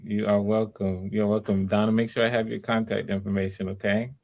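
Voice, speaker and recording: A man with a low register and heavy creak hosts an online audition session. He talks casually and haltingly, repeating phrases and heaping encouragement on people as a director would. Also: flat delivery, darkish tone. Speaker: audition host, writer-director. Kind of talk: casual live-stream chat. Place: online video call.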